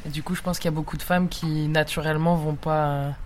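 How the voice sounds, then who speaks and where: Female vox-pop interviewee, low register, hesitant and spontaneous, faint ambient noise. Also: fairly even intonation, fairly quick, darkish tone. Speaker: street interviewee. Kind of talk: spontaneous opinion.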